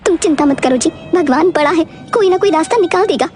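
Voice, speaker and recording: A feisty village farmer's wife acted by a woman, pitched very high and emphatic. She is a devoted cartoon-story character, heard over faint music. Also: lively intonation, brisk, a little mellow. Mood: consoling.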